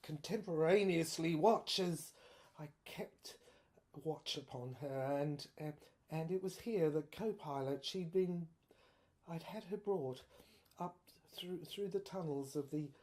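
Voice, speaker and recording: A woman playing a haunted, bewildered officer in a dramatic monologue, stammering through visions in halting fragments and drifting into breathy whispers. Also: deep voice, darkish tone. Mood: halting, musing. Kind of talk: dramatic first-person monologue.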